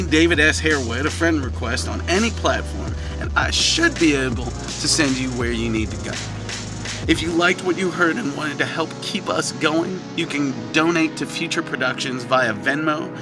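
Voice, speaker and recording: Man reading credits over prominent music. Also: on the high side. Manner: measured, formal, with playful asides. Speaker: director and producer reading closing credits. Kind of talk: scripted credits narration.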